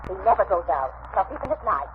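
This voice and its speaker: A woman's voice: medium pitch, big pitch swings, fast, close to an everyday voice. An actress playing an anxious, deferential working-class landlady who talks fretfully in a 1940s radio drama. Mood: fretful, frightened. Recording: tinny, noisy vintage radio recording.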